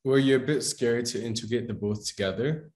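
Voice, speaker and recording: A man's voice: medium pitch, fairly quick, darkish tone, roomy sound. A man interviewing a singer, asking questions in an enthusiastic, praising way.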